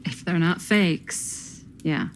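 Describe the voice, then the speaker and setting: Guarded film director, game drama: clipped, defensive, slightly low pitch. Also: female voice, slow, slight background noise. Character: guarded, weary older woman film director.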